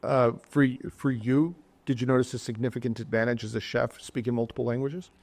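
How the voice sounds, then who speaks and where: A middle-aged man hosts a relaxed interview, chatty and stammering a little with repeated words. His voice is gravelly, with plenty of fry at an ordinary pitch. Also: fairly quick. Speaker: podcast host. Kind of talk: casual interview talk.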